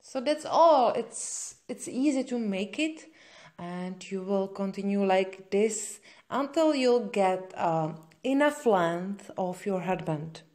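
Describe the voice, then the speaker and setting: Female craft tutorial host, native Czech speaker with Czech accent; unhurried, mid-pitched, simple hesitant wording. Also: lively intonation, dark tone. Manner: simple, hesitant non-native English phrasing. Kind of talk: spoken step-by-step craft tutorial.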